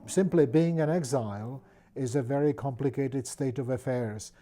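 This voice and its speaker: A man in his sixties from the Czech Republic with a Czech accent, speaking thoughtfully in an interview with many pauses and restarts. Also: mid-range voice, dark tone. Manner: thoughtful, with frequent fillers and restarts. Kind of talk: reflective personal interview.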